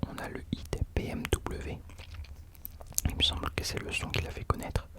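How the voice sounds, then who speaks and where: A man speaking in an unvoiced whisper, soft and informal, chatting as the host of an ASMR video into a close microphone. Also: normal speed. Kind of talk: whispered ASMR talk about rap.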